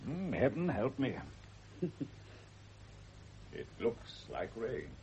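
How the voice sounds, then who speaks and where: An actor in a radio drama playing a patient, steady companion who humours and soothes in an understated way. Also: male voice, medium pitch, takes it slowly, a little hiss or hum, low-quality recording. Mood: mild, humouring. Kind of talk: classic radio drama acting.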